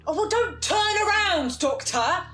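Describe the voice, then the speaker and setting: A woman playing an excitable television viewer in an audio drama, exclaiming at a spooky show with urgency. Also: very high-pitched, unhurried, soft background music, exaggerated voice. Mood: alarmed.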